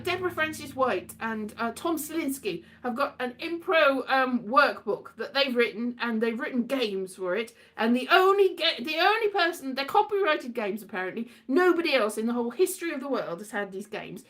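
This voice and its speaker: A British woman telling casual, rambling comic anecdotes in an animated, theatrical way, acting out exchanges as she goes. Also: sits high. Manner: animated, theatrical, acts out exchanges, breaks into sing-song. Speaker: solo host of an audio show.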